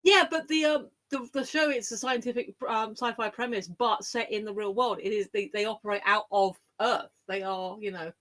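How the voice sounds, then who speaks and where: A woman's voice: medium pitch, fairly even intonation, moderate pace, few pauses, fairly bright tone. A woman co-hosting a podcast, explaining things in casual conversation. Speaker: podcast co-host.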